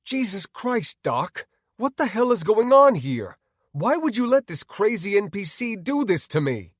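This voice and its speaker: A man's voice: mid-range voice, animated, moderate pace, dry recording, clean studio sound, close to an everyday voice. A man voicing a defiant, anguished, foul-mouthed gamer hero in an audiobook, vehement in manner. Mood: panicked outrage. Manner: vehement, swearing.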